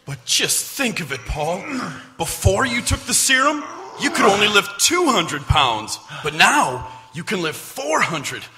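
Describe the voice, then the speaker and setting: A man's voice: high voice, big pitch swings, measured pace, pushed and bright, full character voice. A man acting an earnest, protective assistant in a 1940s-style radio play, emphatic and stagey. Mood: amazed. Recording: echoey stage hall, faint music.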